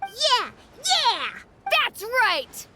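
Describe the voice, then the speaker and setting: Tiny excitable game fairy: extremely high squeaky voice, drawn-out delivery, noisy track. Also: female voice, exaggerated voice. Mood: excited cheering. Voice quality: squeaky, childlike. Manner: excitable, cheering. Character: tiny childlike cheerful fairy companion, eagerly cheering. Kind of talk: video game voice acting.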